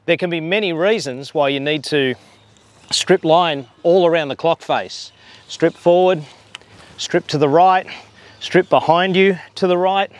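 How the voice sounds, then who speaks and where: Fishing guide demonstrating casting technique outdoors. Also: male voice, fairly high pitch, measured pace. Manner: relaxed explaining with hesitations. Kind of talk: instructional demonstration. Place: outdoors on a harbor dock.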